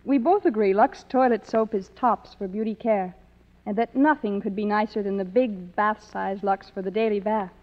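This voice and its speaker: A woman's voice: mid-range voice, moderate pace. A woman guest on a 1950s radio show, delivering scripted banter and promotion. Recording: muffled old radio recording, hall reverb.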